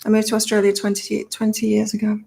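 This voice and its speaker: Middle-aged British-born woman, psychic livestream host, monotone, loose and repetitive. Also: medium pitch, quick pace, vocal fry. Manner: rambling, thinks aloud, repeats herself. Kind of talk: casual live chat reading viewer comments.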